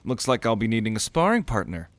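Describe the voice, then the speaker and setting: A man's voice: fairly low pitch, sing-song, fast talker, no room sound. A man playing a cocky, sardonic young prince at a mage academy in an audio roleplay, with a swaggering, theatrical manner. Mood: eager, teasing. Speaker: ASMR roleplay voice actor.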